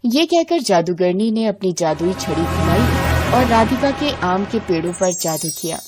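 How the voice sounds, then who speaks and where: A woman's voice: mid-range voice, expressive, unhurried, over loud music. A woman storyteller reading scripted narration for a moral bedtime tale.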